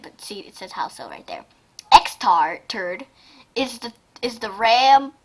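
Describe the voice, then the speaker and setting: High-pitched, animated woman reacting as she reads. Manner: giggly, exclamatory, often breaking off mid-sentence to comment. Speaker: amateur reader reacting to fan fiction. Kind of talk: casual reaction read-aloud with asides.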